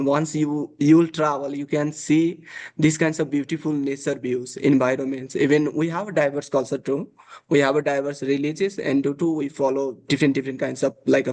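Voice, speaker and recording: A man's voice: on the high side, steady tone, brisk, darkish tone. A young man from Nepal, chatting on a video call and filling his talk with uh and like. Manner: hesitant, many fillers, uh and like. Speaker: interviewee. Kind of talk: casual video call chat.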